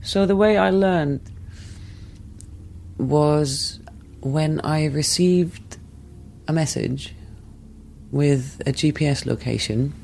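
Man, gravelly, reflective, measured, candid personal story. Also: on the high side, darkish tone, a little hiss or hum. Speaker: interviewee recounting a relationship.

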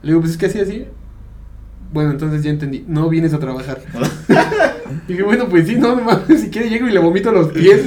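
A man's voice: high-pitched, animated, moderate pace, long pauses, reverberant, crowd noise. A young Mexican man with a Mexican Spanish accent, telling a story in casual podcast chat and acting out the other people with laughter. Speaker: podcast co-host.

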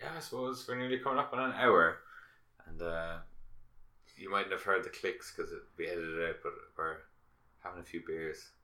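A man's voice: deep voice, moderate pace, sharp tone, reverberant. A man of around thirty hosting a casual, sweary podcast chat with an old friend, informal and jokey. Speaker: podcast host.

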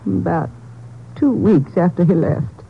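A destitute old widow, ashamed and despairing. Her voice is husky and creaky, and she speaks slowly and haltingly in plain folksy words. It sounds like a muffled vintage radio crime drama. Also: on the low side, exaggerated voice. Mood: subdued recounting. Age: older.

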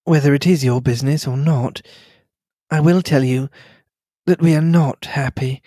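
A man reading the lines of a reserved young English wife, speaking quietly and in a measured way. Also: on the high side, breathy edge, no room sound, clean studio sound. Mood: thoughtful.

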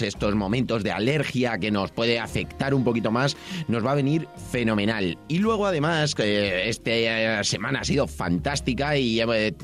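Upbeat man talking tea. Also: medium pitch. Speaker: tea shop founder, podcast guest. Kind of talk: prepared spoken segment.

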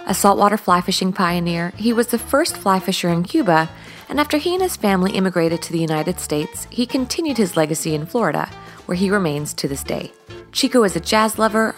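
A woman hosting a podcast, reading a scripted introduction and then asking questions with enthusiasm. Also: medium pitch. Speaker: podcast host.

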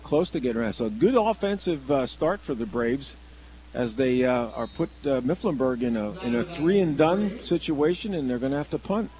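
A man giving conversational color commentary on live radio football, explaining plays in a halting way and often restarting his phrases. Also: medium pitch, lively intonation, dark tone, low-quality recording.

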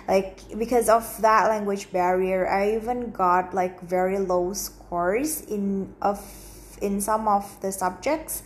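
A woman's voice: mid-range voice, slight background noise. A young adult woman who grew up in Romania and Indonesia, talking in a casual solo monologue, chatty and full of fillers and restarts. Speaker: podcast host.

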